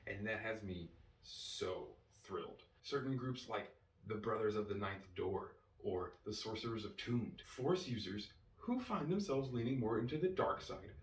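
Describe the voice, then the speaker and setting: Roomy, distant recording of an enthusiastic man reviewing. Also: medium pitch. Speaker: reviewer and host. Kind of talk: enthusiastic fan review monologue. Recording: roomy, distant mic with faint noise.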